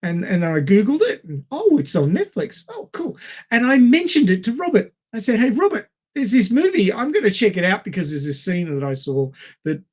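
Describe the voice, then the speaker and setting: High-pitched man chatting casually about films. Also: sing-song, fairly quick, breathy edge. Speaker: podcast co-host. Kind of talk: casual film discussion.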